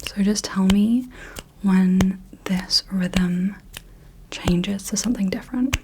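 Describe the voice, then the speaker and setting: A woman speaking in a soft, breathy whisper as a gentle, encouraging ASMR host leading the listener through little games. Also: medium pitch, slow, noisy background.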